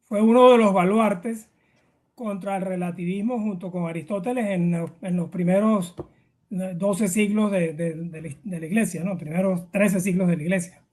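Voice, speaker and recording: A man's voice: high-pitched, steady tone, normal speed, a little mellow. A middle-aged man with a Venezuelan accent, explaining things informally as an interview guest, hesitant and full of fillers and repeated words.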